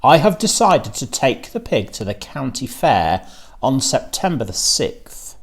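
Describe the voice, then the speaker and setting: Man acting a proud, commanding farmer, animated pitch. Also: unhurried, slightly rough, heavily acted. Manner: emphatic, declaratory, giving orders. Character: proud, commanding male farmer, awed by his pig.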